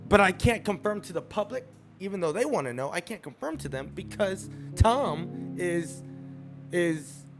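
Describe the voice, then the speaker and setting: Casual male vlogger, slightly high voice, animated and repetitive delivery, music bed under him. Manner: animated, repeats phrases for emphasis. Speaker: sports commentary YouTuber. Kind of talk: casual opinion vlog.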